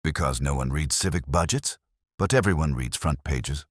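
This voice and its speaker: A man voicing a gentle, wry, probing police inspector in a detective drama, speaking in measured, pointed phrases. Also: extremely low pitch, dry recording. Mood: matter-of-fact. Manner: measured, dry, pointed questioning.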